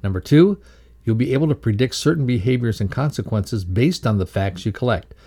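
A man reading scripted educational narration in a measured, instructive way. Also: medium pitch, animated, vocal fry, dark tone, husky. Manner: measured, instructive read-aloud delivery. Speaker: reader of a values lesson.

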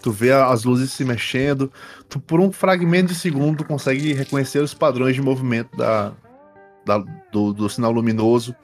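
A man's voice: medium pitch, normal speed, soft background music. A man with a Brazilian accent, improvising narration as game master in casual, slangy speech. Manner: informal, uses slang and swearing.

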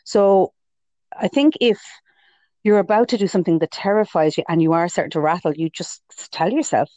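A woman talks as a guest in a relaxed interview, her voice sitting low for a woman. She tells stories warmly and readily, acting out her own inner voice. The sound is dry and close, with no room around it. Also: fairly quick, no echo. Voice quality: lower voice for a woman. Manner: chatty storytelling with self-quotes and laughs. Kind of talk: casual interview chat.

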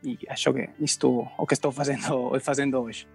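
A man in his thirties from Spain, a native Spanish speaker with a Spanish accent, haltingly searching for words as a podcast guest. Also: mid-range voice, fairly even intonation, gravelly, darkish tone, husky, faint music behind. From Barcelona, Spain. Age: 30s. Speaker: podcast guest, company founder. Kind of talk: casual interview answers.

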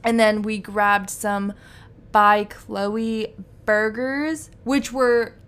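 A woman co-hosting a casual podcast, soft-spoken and laughing easily as she chats. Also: a little high, steady tone, drawn-out words, clean tone. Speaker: podcast co-host. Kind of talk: casual chat.

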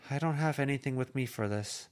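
A man's voice: mid-range voice, a little fast, clean tone, pitched above the performer's own voice, lightly acted. A man reading an amateur audiobook, voicing an anxious, earnest teenage girl who pleads hesitantly. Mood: worried.

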